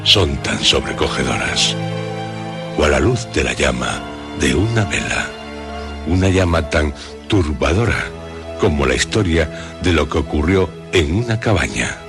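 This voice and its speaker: A man with a Castilian Spanish accent presenting a horror radio show in a solemn, suspenseful scripted narration with dramatic pauses. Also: very deep voice, big pitch swings, vocal fry. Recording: loud music under the voice. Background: background music.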